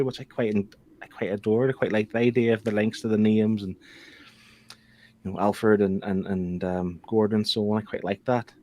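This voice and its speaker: Man, lowish pitch, panelist, casual review, tentative, thinking aloud. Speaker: book club panelist.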